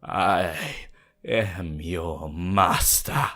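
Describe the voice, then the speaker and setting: Possessive male vampire, ASMR roleplay: deep, breathy, sinister, archaic and theatrical, extremely unhurried delivery. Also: steady tone, bright, forceful tone, faint music behind, heavily acted. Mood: gloating, commanding. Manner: theatrical, slow, menacing, archaic phrasing.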